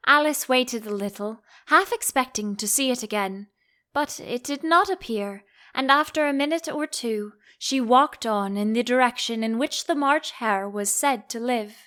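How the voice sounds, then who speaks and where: A woman reading a children's story as an audiobook narrator, in expressive scripted narration. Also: fairly high pitch, moderate pace.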